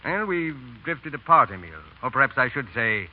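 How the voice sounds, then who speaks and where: An actor playing a frank, principled middle-aged French painter, speaking with earnest reproach in the manner of 1930s radio drama. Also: male voice, medium pitch, big pitch swings, noisy background, lightly acted. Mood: earnest, regretful. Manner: earnest, reproachful, deliberate phrasing. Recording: tinny, narrow 1930s radio broadcast.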